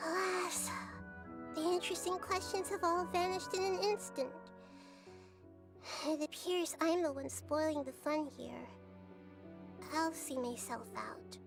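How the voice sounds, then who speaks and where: Very high, thoughtful child goddess. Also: female voice, fairly even intonation. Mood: wistful. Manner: articulate, measured, earnest. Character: wise childlike girl deity, gentle, curious and thoughtful. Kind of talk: game voice acting. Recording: loud music under the voice.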